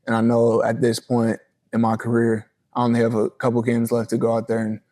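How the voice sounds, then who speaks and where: A man's voice: medium pitch, monotone, brisk, dark tone. A man in his early twenties giving a casual, earnest and respectful sports interview as a college football player. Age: early 20s.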